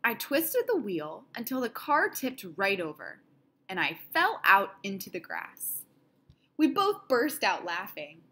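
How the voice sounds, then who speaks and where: A woman reading a children's picture book aloud in an expressive style, with deliberate, dramatic phrasing. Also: mid-range voice. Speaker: storybook reader.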